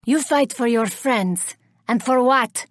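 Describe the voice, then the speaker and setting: Vengeful elven woman warrior, haughty, theatrical, deliberate menace, slightly high pitch, dry studio sound, video game character. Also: no echo. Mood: bitter, menacing.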